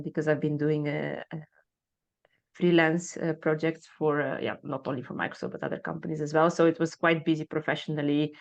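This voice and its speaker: A woman in her thirties from Bulgaria with a Bulgarian accent, answering interview questions hesitantly with many fillers and soft laughs. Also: deep voice, vocal fry, highs cut off. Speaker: guest.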